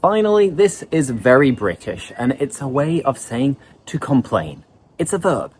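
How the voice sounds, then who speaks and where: A man teaching English vocabulary in an online lesson, explaining words in a lively way. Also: mid-range voice, measured pace, slightly rough.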